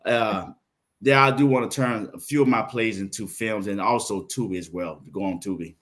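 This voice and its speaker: A man's voice: fairly low pitch, moderate pace. Southern guy in his 50s, florida born, laid back podcast guest, slips into funny voices for quotes. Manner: animated storyteller, acts out quoted voices. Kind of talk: casual interview talk.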